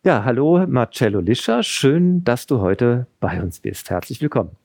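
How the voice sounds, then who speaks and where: A man's voice: medium pitch, big pitch swings, moderate pace, gravelly, a little mellow. A man interviewing an author, conversational and thinking aloud with fillers. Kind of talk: interview questions and summaries.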